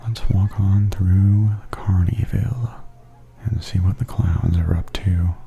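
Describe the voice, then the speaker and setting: Very soft, creaky low-voiced ASMR man. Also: fairly even intonation, highs cut off. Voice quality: breathy, often near-whispered. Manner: gentle, unhurried murmur. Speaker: ASMR host giving a walking tour. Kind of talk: softly spoken ASMR commentary.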